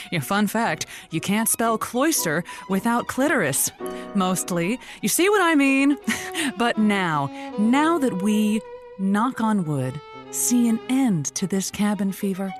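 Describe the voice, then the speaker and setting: An actress plays a bawdy woman who hosts a love show on the radio. Her delivery swings lively up and down, theatrical and teasing, packed with puns and innuendo. It is comedy audio drama, with music underneath. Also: medium pitch, natural voice. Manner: innuendo-laden, playful, punning.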